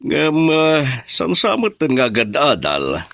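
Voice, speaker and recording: A man's voice: on the high side, wildly swooping pitch, takes it slowly, gravelly, fairly bright tone, no room sound, heavily acted. An actor playing a fond middle-aged father in an Ilocano radio drama. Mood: fond, teasing.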